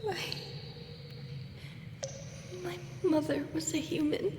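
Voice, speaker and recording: A woman plays a lonely female drider, a wounded monster girl with a high, breathy voice that often sinks to a whisper. She speaks slowly and haltingly in audio roleplay style, with long cavernous reverb around her. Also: fairly even intonation, warm tone. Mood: anguished, tearful. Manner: halting, emotional, repeating herself. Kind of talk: roleplay audio drama acting. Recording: echoey, long reverb, background noise.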